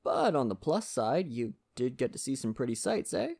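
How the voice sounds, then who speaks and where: A man acts out a friendly lumberjack in an intimate ASMR roleplay. His voice is soft and unhurried, with gravelly creak at the edges. The pitch moves in an animated way as he gently reassures the listener in plain, folksy fashion. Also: heavily acted. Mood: relaxed, friendly. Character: friendly Canadian lumberjack, gentle nature-loving father.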